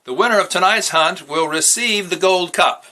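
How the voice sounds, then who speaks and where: A man's voice: high-pitched, normal speed, sharp tone, voice pushed up. A man voicing a formal head judge announcing the rules of a hunting contest in a storybook reading.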